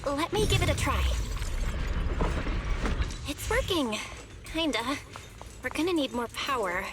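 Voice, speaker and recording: Observant young woman explorer, high voice. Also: sing-song, measured pace, heavy background noise. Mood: curious, practical. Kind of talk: English video game voice acting.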